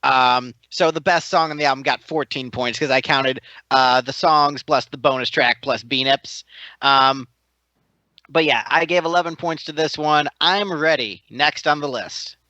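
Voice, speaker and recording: A man on a podcast panel in casual chat, joking and pausing often with ums. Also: mid-range voice, bright, forceful tone, dry recording, highs cut off. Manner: jokey, hesitant with frequent ums.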